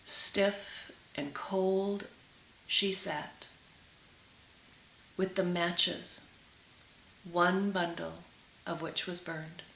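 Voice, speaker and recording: A woman reading a story aloud in an expressive storybook style, with dramatic, deliberate phrasing and drawn-out words. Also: lowish voice, gravelly, slight background noise. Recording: roomy, echoing sound.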